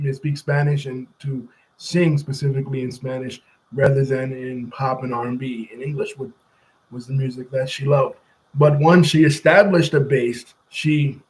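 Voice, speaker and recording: A man's voice: mid-range voice, a little mellow, poor audio. A man hosting a commentary channel who walks through history step by step in a casual, conversational way and now and then chats with people off camera.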